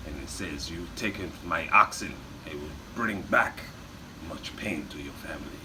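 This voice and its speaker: A boastful Bulgarian raconteur acted out by a man rambles dramatically in broken English. His voice is very low and unhurried, set against clear background noise. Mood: earnest. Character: melodramatic Bulgarian man, boastful old war storyteller. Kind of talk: improvised comic in-character storytelling.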